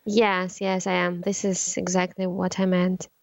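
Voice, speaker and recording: A woman singer and co-writer answering in a casual interview, soft-spoken and careful, laughing easily. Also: on the low side, monotone, unhurried, creaky, no room sound, highs cut off.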